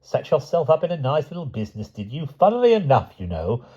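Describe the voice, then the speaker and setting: A man's voice: medium pitch, huge pitch swings, fast talker, mellow, breathy edge, highs cut off, voice pushed up. A man voicing a mocking, vengeful one-handed man who taunts sarcastically. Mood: taunting.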